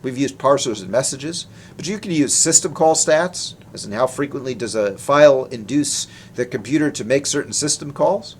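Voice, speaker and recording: A man's voice: mid-range voice, expressive, normal speed, a little hiss or hum. A man presenting research in a technical lecture, explaining in an informal, conversational way. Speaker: researcher presenting his work.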